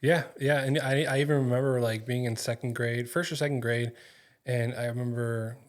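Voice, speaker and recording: A man's voice: mid-range voice, steady tone, moderate pace. A man whose first language was Spanish, hosting a podcast studio chat, talking casually and rambling through anecdotes with lots of fillers. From California, USA. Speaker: podcast host.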